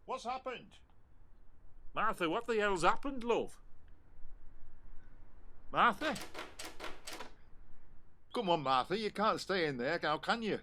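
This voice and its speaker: A man's voice: high voice, expressive, slow pace, long pauses, noisy background. A man playing a working-class husband in an audio play, with a colloquial, homely, coaxing way of talking. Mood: anxious coaxing.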